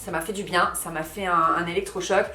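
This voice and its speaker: A woman's voice: lowish voice, fairly even intonation, a little fast, bright, forceful tone, reverberant, faint music behind, low-quality recording. A woman in her thirties, a vlogger, talking casually to camera in a house under renovation, with lots of fillers and restarts. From France. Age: 30s. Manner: chatty, frequent fillers and self-corrections. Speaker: lifestyle vlogger.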